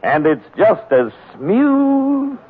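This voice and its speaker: Slow, high-voiced man delivering radio slogans. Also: sing-song, breathy edge. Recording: narrow-band old radio recording.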